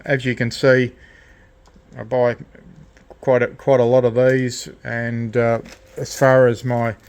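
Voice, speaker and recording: A man giving an unscripted hands-on demonstration of a DIY electronics project, with a halting, rambling delivery. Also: mid-range voice. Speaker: DIY electronics hobbyist presenting his project. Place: indoor radio shack workbench.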